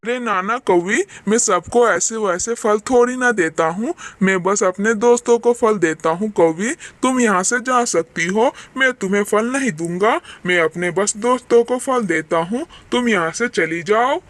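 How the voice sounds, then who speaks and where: A woman's voice: medium pitch, moderate pace, hardly any pauses, no echo, lightly acted. A woman voicing a lonely, kindly big talking tree in a children's cartoon, pleading and reassuring. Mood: refusing. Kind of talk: children's cartoon story acting.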